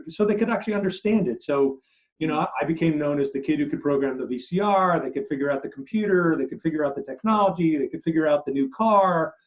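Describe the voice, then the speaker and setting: A middle-aged man whose first language is German, answering interview questions as a marketing expert and telling stories with many restarts, trailing low at the end of phrases. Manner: animated storyteller, many restarts, drops low at phrase ends. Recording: muffled lo-fi audio.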